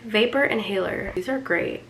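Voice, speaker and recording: Young woman, sick and hoarse, raspy, gravelly fry, casual vlog chat, slips into near-whispers, faint hiss. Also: mid-range voice, normal speed. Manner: chatty, often drops to a near-whisper. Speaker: lifestyle vlogger. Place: home, indoors.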